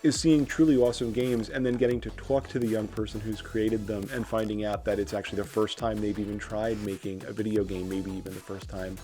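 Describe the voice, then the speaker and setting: A man speaking as an organizer of a competition, in a measured, explanatory interview manner. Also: fairly low pitch, little pitch movement, dark tone, faint music behind. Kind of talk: interview soundbite.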